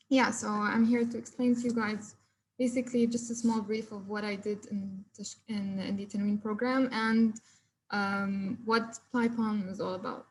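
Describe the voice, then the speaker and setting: A woman's voice: mid-range voice, slight background noise, low-quality recording. A young woman from Egypt, a furniture designer, giving an online presentation of her work with many fillers and restarts. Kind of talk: online presentation with shared screen. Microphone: video call.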